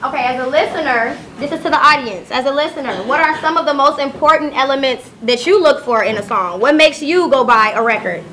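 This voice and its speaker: A woman's voice: sits high, brisk, hardly any pauses, sharp tone. A woman moderating a live panel discussion, recorded at a distance in an echoey room. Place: live event room with audience. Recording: echoey room, distant mic, faint noise.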